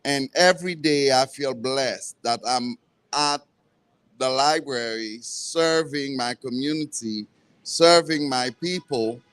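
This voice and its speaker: A man with a Haitian accent, a native Creole speaker, giving halting, measured personal remarks at a press conference. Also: medium pitch, fairly bright tone. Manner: halting, measured, with self-corrections. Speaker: librarian, campaign participant. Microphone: event microphone.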